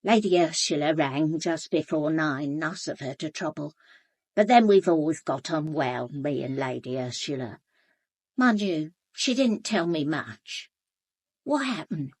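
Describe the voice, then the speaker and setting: A forthright housekeeper in a radio drama, gossipy and easily affronted. Her pitch swoops widely as she talks, and the sound is close and dry. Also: animated, a little slow, breathy edge, dry recording, exaggerated voice. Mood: chatty. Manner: indignant, gossipy, matter-of-fact. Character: forthright, chatty working-class housekeeper, sharp and unbothered.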